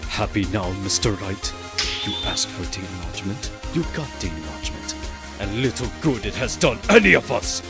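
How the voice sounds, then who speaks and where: A man's voice: lowish voice, big pitch swings, normal speed, over loud music. A man playing a haughty, contemptuous prosecutor with a sneering, imperious delivery in an audio drama. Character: haughty, contemptuous, menacing male prosecutor villain. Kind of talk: fan audio drama voice acting.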